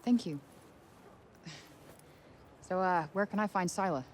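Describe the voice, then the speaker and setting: An actress playing a blunt, reluctant young heroine in a casual, naturalistic video game style. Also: female voice, fairly low pitch, measured pace, darkish tone, slight background noise, natural voice. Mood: subdued.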